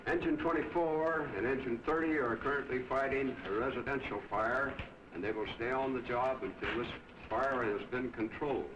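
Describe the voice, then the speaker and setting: A man's voice: medium pitch, normal speed. A man with an American accent gives a formal status report as a fire chief. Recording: echoey, distant, dull, noisy old film sound.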